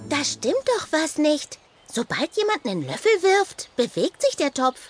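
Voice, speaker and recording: A woman playing a sharp, suspicious young beaver girl in a children's audio drama, assertive and questioning in a childlike way. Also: sits high, wildly swooping pitch, moderate pace, over loud music.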